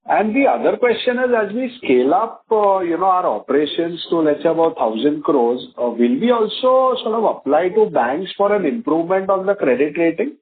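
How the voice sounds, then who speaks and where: A man's voice: high voice, very animated, moderate pace, hardly any pauses. A male analyst, asking an executive polite questions on an earnings call and hedging with fillers. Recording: tinny phone line with faint noise.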